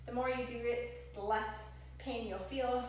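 Young female fitness instructor, breezy and encouraging, slightly high-pitched, camera mic set back in a reverberant home gym. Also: fairly even intonation, a little slow, a little mellow, a little hiss or hum. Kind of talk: instructional workout voice-over and product demo. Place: home gym room with bare walls. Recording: echoey room, voice from a distance.

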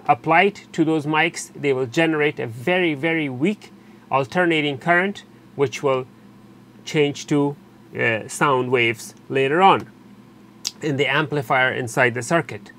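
A man narrating an unscripted step-by-step technical tutorial in a halting, explanatory way, repeating and rephrasing as he goes. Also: a little high. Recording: steady background noise, room ambience.

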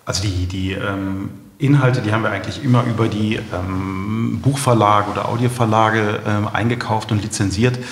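A middle-aged man explaining things conversationally as an interview guest, halting often with ums. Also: fairly low pitch, fairly even intonation. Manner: hesitant, halting delivery with frequent ums. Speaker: company founder, interview guest. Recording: echoey room, long reverb.

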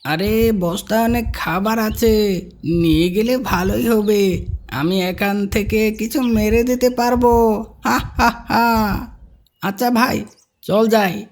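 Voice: medium pitch, fairly even intonation, takes it slowly. Sly, scornful young cartoon boy acted out. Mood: scheming. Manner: scornful, wheedling. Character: sly, greedy, rude young boy, the lazy brother. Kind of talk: children's moral cartoon dub.

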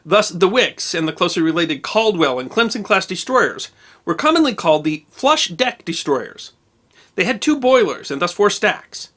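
A man narrating a scripted history documentary, measured and precise with dates and figures. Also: high voice, sing-song, fairly bright tone.

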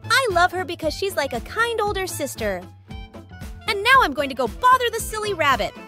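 Woman voicing a timid young clown girl, very high pitch, lively swoops, bubbly, faint music. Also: a little fast, exaggerated voice. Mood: dreamy. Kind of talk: fan comic dub.

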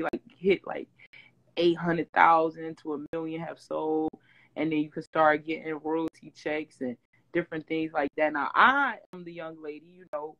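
Guest: rough-voiced woman, 40s, lively storyteller on a home video call. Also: low voice, lo-fi sound. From Detroit. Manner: animated storytelling, loud swings, laughs. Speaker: interview guest, former reality-show singer. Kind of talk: casual chat. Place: home room with green wall backdrop, video call.

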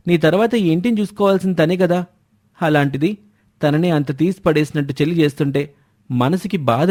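A concerned young husband, played by a male narrator, pleads earnestly in a fairly high voice. He sounds like a dutiful son in a storybook drama. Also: darkish tone, close to an everyday voice. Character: concerned grown son pleading gently for his wife.

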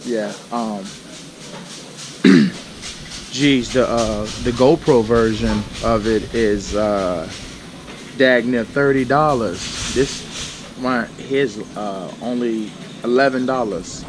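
A man in his thirties talks slowly and easily to a camera held at arm's length, his voice slightly scratchy. He rambles with plenty of ums and uhs. There is a steady wash of noise behind him in the big store. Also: mid-range voice. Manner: slow, relaxed, chatty with many fillers. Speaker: vlogger. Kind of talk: casual vlog talk to camera. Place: indoors in a large store. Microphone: handheld action camera at arm's length. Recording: noisy handheld camera audio.

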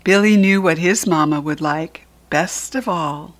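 Slow-reading American woman storyteller. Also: lowish voice. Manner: slow, deliberate, teacherly. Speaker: storybook reader. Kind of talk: read-aloud of a children's picture book.

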